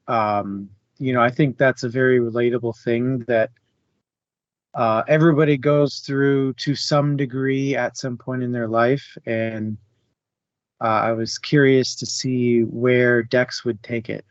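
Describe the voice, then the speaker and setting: A man with a mid-pitched voice hosts a casual book talk. He speaks in measured, halting phrases. The recording is dry and a little dull, with a lo-fi finish. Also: steady tone, smooth, no echo. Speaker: host of a book discussion show. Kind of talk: casual book discussion for a live stream.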